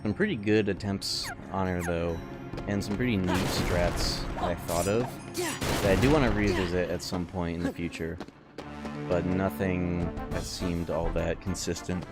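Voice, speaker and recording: Male game streamer, low register, casual and sweary, muttering over loud music. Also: moderate pace. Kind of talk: casual live game commentary. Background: loud video game music and effects.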